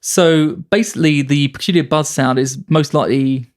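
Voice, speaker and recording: A man's voice: on the high side, fairly even intonation, moderate pace. A man presenting a researched story in a casual, joking podcast chat, with a wry manner. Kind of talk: casual comedic explainer chat.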